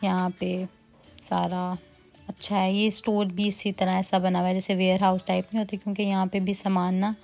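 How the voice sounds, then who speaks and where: A woman's voice: medium pitch, flat delivery, moderate pace, vocal fry, darkish tone, soft background music. A young adult woman narrating a shopping vlog as a casual voice-over, chatty and listing items with frequent fillers. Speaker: vlogger. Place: quiet indoor room, voice-over.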